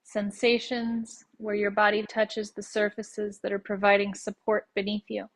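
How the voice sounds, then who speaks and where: A woman leading a guided yoga nidra meditation in a gentle, soothing, measured way, leaving long pauses between short phrases. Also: mid-range voice, completely flat delivery, rough recording.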